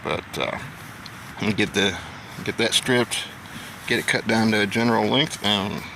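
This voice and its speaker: American male hobby vlogger, gravelly and fairly low, unhurried DIY walkthrough, noisy outdoor sound. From Indiana, United States. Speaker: amateur radio hobby vlogger. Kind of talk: casual how-to demonstration.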